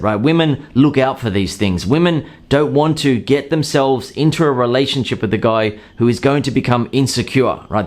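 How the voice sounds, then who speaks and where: A man calmly explaining dating advice in a scripted monologue, acting out short example lines now and then. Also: medium pitch. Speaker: dating coach.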